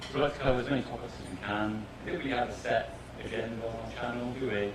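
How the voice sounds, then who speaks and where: A man's voice: mid-range voice, rapid-fire. A man, a vlogger talking about his channel in an easygoing way. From United Kingdom. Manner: easygoing, relaxed. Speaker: vlogger, panelist. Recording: distant in an echoey hall, crowd.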